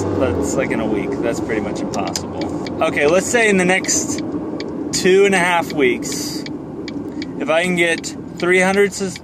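A man in his thirties rambling casually to camera while driving, with a joking, self-deprecating manner. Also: medium pitch. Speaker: vlogger. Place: inside a moving car. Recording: car cabin with road and fan noise. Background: car and air noise.

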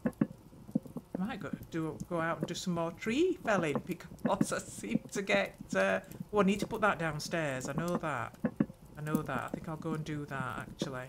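An older woman hosting a gameplay video, muttering her thoughts aloud in an unhurried way. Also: low-pitched, gravelly, very noisy. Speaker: gaming channel host. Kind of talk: let's play commentary.

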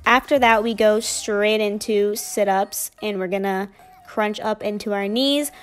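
A woman's voice: medium pitch, steady tone, measured pace, soft background music. Chatty young woman narrating a workout. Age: young adult. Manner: casual, chatty, upbeat explaining. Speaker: fitness vlogger.

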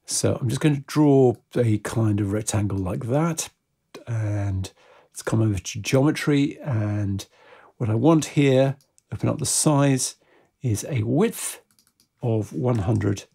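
A man presenting a software tutorial step by step, in a methodical, informal way. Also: medium pitch, breathy edge.

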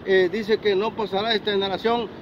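Fervent middle-aged street preacher, high voice. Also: male voice, level tone, a little fast. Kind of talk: street interview answers. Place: outdoor parking lot by busy road. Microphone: phone camera held by interviewer. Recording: dull phone audio with traffic noise. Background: traffic noise.

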